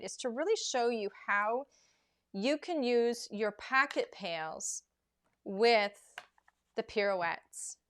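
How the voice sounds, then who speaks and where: A woman hosting a live crafting demonstration, chatty and rambling with plenty of filler words, her voice going hoarse as she loses it. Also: a little high. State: losing her voice. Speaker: craft show host.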